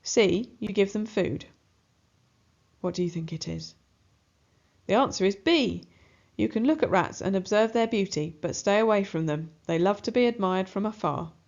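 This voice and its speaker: A woman's voice: medium pitch, lively intonation, moderate pace, creaky, a little mellow. Woman reading a children's story, slightly muffled. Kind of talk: expressive storybook read-aloud. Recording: slightly dull, muffled recording.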